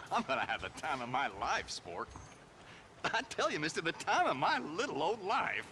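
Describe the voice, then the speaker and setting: A man playing an excitable, chattering magazine writer in a Western video game, gushing, wordy and pleased with his own wit. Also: a little high, normal speed, background noise. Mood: eager, chatty. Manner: gushing, wordy, self-amused, repeats himself. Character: excitable, chattering male East Coast magazine writer out West. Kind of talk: theatrical video game acting.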